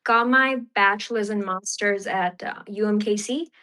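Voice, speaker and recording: A woman's voice: mid-range voice, moderate pace, bright, forceful tone. A young woman from Fiji, a podcast guest and economist, talking casually with many fillers. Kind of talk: casual interview.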